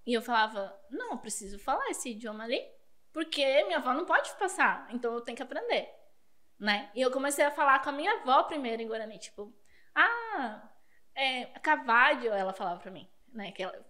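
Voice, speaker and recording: A woman with a high voice tells a long childhood story in a relaxed podcast chat. She laughs often as she talks. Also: a little fast. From Paraguay border region. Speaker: guest.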